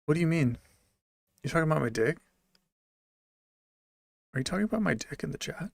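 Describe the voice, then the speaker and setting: Gravelly-voiced man chatting deadpan. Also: on the high side, brisk, no echo, clean studio sound. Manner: joking, deadpan, talks straight to chat. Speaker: livestreamer reading chat messages. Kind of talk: casual livestream chat.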